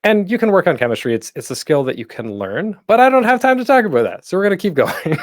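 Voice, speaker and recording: A man's voice: high-pitched, big pitch swings, fast, dry recording, studio quality. A man presenting an instructional panel in a casual, informal way, often breaking off into asides. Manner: informal, self-interrupting, tangential asides.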